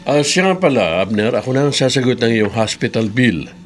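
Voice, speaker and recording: An actor playing a kindly, generous middle-aged boss, consoling and calm with quiet authority in a radio drama. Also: male voice, medium pitch, brisk, natural voice. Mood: reassuring. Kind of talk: Tagalog radio drama acting. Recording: radio drama with audible background noise.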